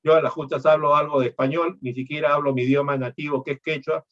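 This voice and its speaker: A man's voice: a little high, steady tone, fast talker, fairly bright tone, highs cut off. A middle-aged man from Peru, lecturing on law and explaining things with rhetorical questions.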